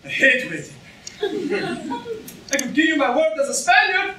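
A man's voice: extremely high pitch, big pitch swings, moderate pace, sharp tone, full character voice. A young man acting a courteous, earnest Spanish swordsman in a theatrical staged reading. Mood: polite. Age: young adult. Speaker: student actor in a staged reading. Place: lecture room stage before an audience. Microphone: far camera in the audience. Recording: distant, echoey room with noise.

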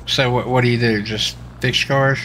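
A man's voice: mid-range voice, fairly even intonation, normal speed, a bit bright. A man improvising as a law officer in an online game, asking questions in a blunt, informal way. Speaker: roleplayer playing a law officer. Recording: faintly noisy game voice chat.

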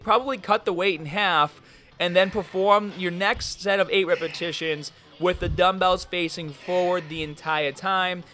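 A young man giving workout instructions as a fitness coach, energetic and coaching in tone. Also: high-pitched. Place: large gym, indoors.

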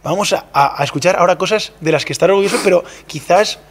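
A man's voice: sits high, fast talker. A man co-hosting a podcast outdoors, bantering in a joking, mock-dramatic way. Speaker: podcast co-host. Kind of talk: casual banter. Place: outdoor terrace by a brick wall.

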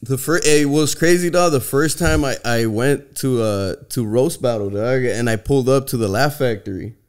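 A man in his thirties from Southern California, a comedian guest on a podcast, telling stories in relaxed street slang. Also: medium pitch, normal speed. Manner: laid-back slangy storytelling, says "dog" constantly. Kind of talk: casual chat. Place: podcast studio with brick wall. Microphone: boom studio mic.

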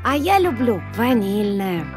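A woman's voice: a little high, big pitch swings, a little slow, music in the background. Earnest, lilting young polar bear boy. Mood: cheerful. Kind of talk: children's cartoon acting.

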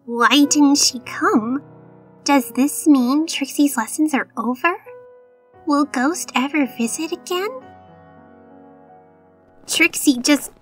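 Woman voicing high-pitched, theatrical pony showgirl. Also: slow pace, pauses often, soft background music. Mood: worried, wistful. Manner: haughty, melodramatic, speaks of self in third person. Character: vain, theatrical young female unicorn pony magician. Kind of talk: cartoon comic dub acting.

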